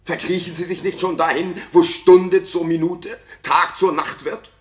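A man's voice: high voice, steady tone, a little slow. An actor playing a jealous, paranoid king in a 1960s German radio play, brooding and theatrical, moving between muttering and outbursts. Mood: jealous fury.

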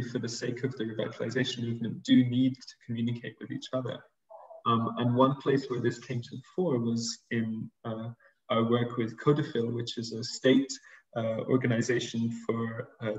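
Guy giving a conference talk on a video call, monotone, sounds far off in an echoey room, muffled audio. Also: medium pitch. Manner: steady, flat delivery with fillers. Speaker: linguist presenting a conference paper. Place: room indoors. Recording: roomy, distant, dull video call audio.

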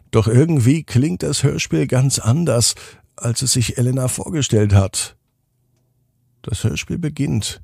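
A man hosting a children's bedtime story podcast, gently addressing young listeners with drawn-out emphasis. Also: lowish voice, vocal fry, breathy edge, dry recording, clean studio sound.

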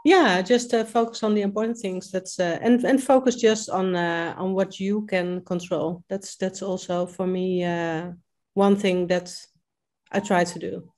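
A woman's voice: mid-range voice, fairly even intonation, a little slow, darkish tone. A woman in her fifties with a Dutch accent, speaking English as a second language on a video call, hesitant with many fillers and restarts. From Netherlands. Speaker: coaching client. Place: home kitchen.

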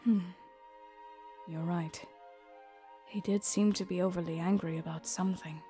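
A woman voicing a thoughtful young adventurer in an anime audio drama, phrasing things deliberately with pauses. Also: fairly low pitch, steady tone, dark tone, soft background music, natural voice.

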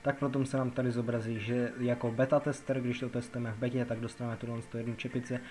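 A man's voice: medium pitch, level tone, darkish tone. A man from the Czech Republic giving a casual, rambling game tutorial, repeating himself as he explains. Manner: rambling, repetitive explaining with filler words. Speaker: gaming video narrator. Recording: music behind the voice. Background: background music.

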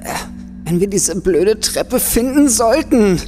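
A woman playing an anxious, cautious young student in an audio adventure drama, hesitant and expressive. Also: mid-range voice, slow, faint music behind. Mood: worried.

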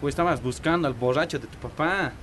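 A man's voice: a little high, quick pace, fairly bright tone, natural voice. An actor with a Bolivian accent playing a pushy, teasing teenage boy in a radio drama. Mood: taunting, pushy. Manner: taunting, insistent. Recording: noisy outdoor street ambience.

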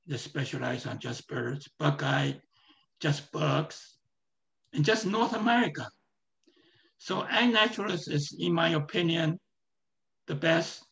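A man with a hoarse, strained voice giving a live screen-share presentation, talking in a halting way with many fillers and restarts. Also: on the high side, fairly bright tone.

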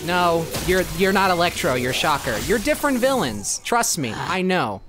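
A woman's voice: on the low side, big pitch swings, moderate pace, over music. A woman voicing a chatty, easily rattled young male superhero in an improvised comedic game fandub, rambling and melodramatic. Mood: chummy, chatty.

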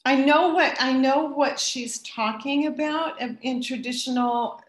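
A middle-aged woman answering audience questions after her talk, thoughtful and pausing as she restarts her sentences. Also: high-pitched, roomy sound. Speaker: guest speaker.

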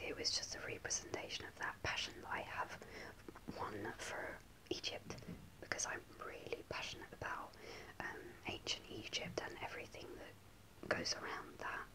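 A young woman telling a personal story in a soft, hesitant ASMR whisper, close to the camera in a small room. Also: measured pace, rough recording. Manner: soft unvoiced whisper, hesitant with many ums. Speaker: ASMR creator. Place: small indoor room before a starry backdrop. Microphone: close to a camera at face level.

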